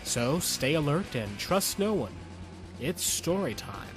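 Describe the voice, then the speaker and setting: A man with a slightly high voice delivers scripted commentary and story narration. He is earnest and measured, phrasing things deliberately. Music plays clearly behind him. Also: sing-song. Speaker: podcast host and story reader.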